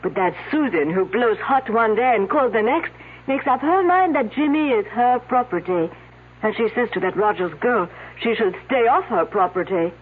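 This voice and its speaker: An actress playing a young French student with a French accent in a 1970s radio mystery, speaking in careful formal phrases with coy hesitations and a slight air of superiority. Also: female voice, fairly high pitch, animated, normal speed, slightly rough. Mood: gossipy, cool. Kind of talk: 1970s radio drama acting. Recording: muffled, phone-like old radio broadcast.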